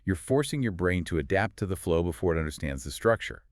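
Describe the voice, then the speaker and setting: A man co-hosting a podcast, explaining ideas in a measured, scripted conversational way. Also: deep voice, expressive, no echo, clean studio sound. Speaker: podcast co-host.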